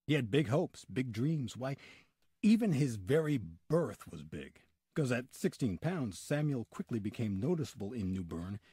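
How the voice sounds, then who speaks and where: A man tells history like a radio storyteller, with a husky voice and lively swings in pitch. The delivery is scripted, dramatic and emphatic. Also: dry recording. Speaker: narrator, storyteller. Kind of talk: scripted old-time radio style narration.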